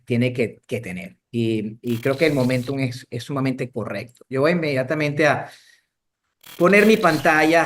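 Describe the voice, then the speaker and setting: A man with a medium-pitched voice presents as an expert in a webinar. He talks conversationally and repeats phrases as he lays out his points. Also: a little hiss or hum.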